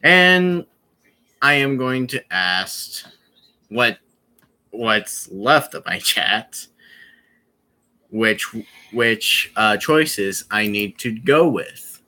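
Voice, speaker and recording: A young man chatting casually to his viewers on a live stream, rambling and halting, with many fillers and restarts. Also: mid-range voice, expressive, sharp tone, poor audio.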